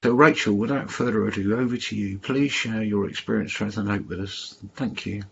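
Low, gravelly man chairing a meeting, measured, dull call audio. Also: slight background noise. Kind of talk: introducing a speaker.

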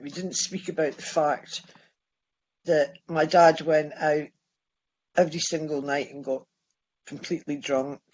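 A Scottish man in his sixties sharing his life story at a recovery meeting, halting and restarting as he goes. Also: a little high, breathy edge, dull audio. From Scotland. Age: 60s. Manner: halting, with many restarts and pauses. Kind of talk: personal testimony.

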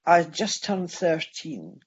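Older scottish man, sixties, slow halting testimony, somewhat high voice, dull lo-fi sound. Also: very animated, husky. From Scotland. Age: 60s. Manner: halting, with many restarts and pauses. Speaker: speaker sharing his story at a recovery meeting. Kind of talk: personal testimony.

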